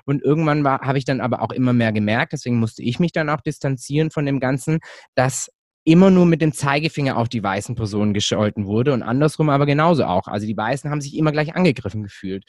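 A man from Germany with a mid-range voice talks in an animated way, often restarting his sentences. The recording is dry and close-miked. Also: mid-range voice, quick pace, no room sound. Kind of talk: casual conversation.

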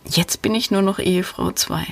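A woman's voice: mid-range voice, fairly even intonation, normal speed, vocal fry, dry recording. A woman hosting a podcast, talking casually and thinking aloud as she answers listener questions. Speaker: podcast host.

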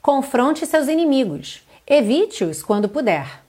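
A woman from Brazil teaching an online English lesson, reading lyrics slowly and carefully and then translating them. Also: high-pitched, sing-song, darkish tone, breathy edge.

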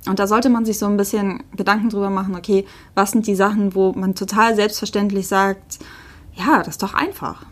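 A woman co-hosting a podcast, telling anecdotes in a casual, conversational and animated way. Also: medium pitch, a little fast. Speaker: podcast co-host.

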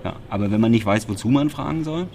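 A man's voice: medium pitch, lively intonation, quick pace, vocal fry, a little mellow. A man from Berlin co-hosting a podcast, recapping press conferences in a wry, sarcastic, rambling way. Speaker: podcast co-host.

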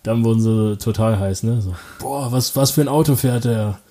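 A young man from Germany answering interview questions casually, halting often with fillers, restarts and quick laughs. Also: mid-range voice, warm tone.

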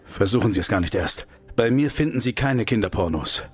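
An actor playing a principled, defiant politician in a radio play, articulate, accusing and sardonic. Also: male voice, fairly low pitch, lively intonation, fairly quick, husky, faint music behind. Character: principled, defiant male politician confronting the powerful. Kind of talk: German radio play acting.

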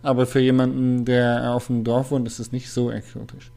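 A man's voice: medium pitch, flat delivery, creaky, warm tone. Hesitant man from Berlin, casual chat. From Berlin, Germany. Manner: hesitant, many filler pauses. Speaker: podcast co-host.

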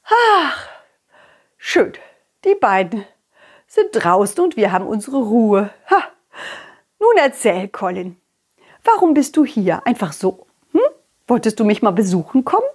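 A woman's voice: on the high side, very animated, slightly rough, heavily acted. A woman voicing a cheerful, hospitable king in a children's story, full of exclamations and stretched words. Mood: relaxed, cheerful. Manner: exclamatory, leisurely, drawn-out words. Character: cheerful, hospitable king, fond host of a ghost.

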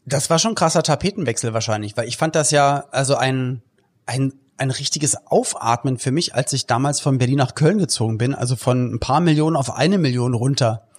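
A man hosting a podcast, chatting casually in colloquial language with animated exclamations. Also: medium pitch, brisk. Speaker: podcast host. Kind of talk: casual chat.

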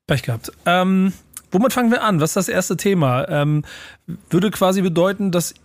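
A man hosting a casual podcast chat, a bit tired and with a slight cold, moderating with relaxed fillers. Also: high-pitched, normal speed, no room sound. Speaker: host.

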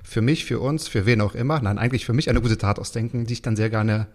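A man's voice: medium pitch, quick pace. A man in his thirties hosting a podcast interview, chatting casually with playful, joking banter. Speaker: podcast host.

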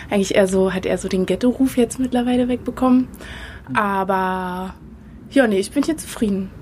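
A woman in her thirties from Potsdam, answering questions in a casual street interview outdoors, chatty and relaxed with frequent filler pauses. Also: mid-range voice, a little hiss or hum. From Potsdam, Germany. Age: 30s. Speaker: interviewee, local resident. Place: outdoors in a residential area.

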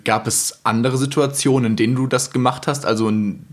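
A man hosting a podcast, calmly asking an interview guest long, searching questions. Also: medium pitch, fairly quick. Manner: calm, searching questions in long winding sentences. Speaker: podcast host.

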